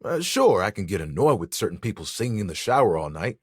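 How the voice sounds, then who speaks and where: A man's voice: on the low side, very animated, fast, husky, no room sound, studio quality. A man voicing a flirty, laid-back living towel in a video game, playful and heartfelt. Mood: reassured, sincere. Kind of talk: video game character acting.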